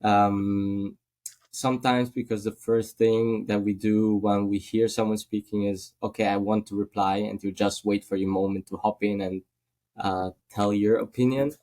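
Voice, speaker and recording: A man's voice: lowish voice, flat delivery, normal speed, darkish tone. A man from Italy with an Italian accent, a guest in a casual podcast interview, speaking thoughtfully with many fillers.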